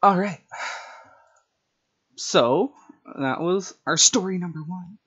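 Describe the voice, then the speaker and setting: A man's voice: high voice, slow pace, fairly bright tone, slightly rough, highs cut off. A man streaming online, who reads his own stories aloud and chats casually. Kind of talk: story narration and casual livestream chat.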